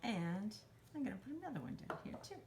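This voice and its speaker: A woman's voice: medium pitch, very animated, fast, background noise. A woman hosting a children's storytime craft, explaining each step in simple instructional phrases for young children. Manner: simple instructional phrasing, refers to herself in third person.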